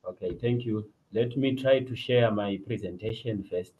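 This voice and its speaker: A man's voice: on the low side, normal speed, a little mellow, rough recording. A middle-aged man with a Zimbabwean accent, formally reading a presentation as a police officer over an online call. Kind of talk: formal presentation read from a paper. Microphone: video call.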